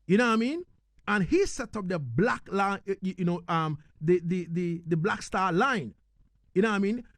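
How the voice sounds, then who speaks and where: A male radio host speaks in a high, very lively voice. His delivery is emphatic and conversational, and the sound is dry and close, suited to live interviewing. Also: normal speed, no echo. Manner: emphatic, repeats "you know what I mean". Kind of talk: live radio interview, reading listener messages.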